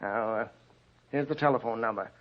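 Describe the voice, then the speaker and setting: An actor playing a calm, probing American police detective, measured and reassuring, in an old-time radio drama. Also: male voice, mid-range voice, close to an everyday voice. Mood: coaxing, confidential. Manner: measured, coaxing questioning. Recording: muffled old radio recording.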